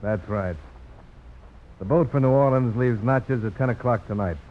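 A man's voice: mid-range voice, moderate pace, natural voice. An actor playing a brave, proud frontiersman in a 1950s radio drama, with terse, earnest delivery. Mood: firm, resolute. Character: brave, proud frontiersman and knife fighter, wounded. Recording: muffled narrowband old radio broadcast, faint hiss.

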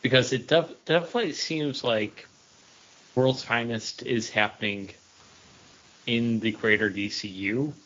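A man's voice: medium pitch, unhurried, fairly bright tone, dull audio, slight background noise. A man co-hosting a podcast, casually debating and puzzling things out with a questioning delivery. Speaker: podcast co-host reviewing comics.

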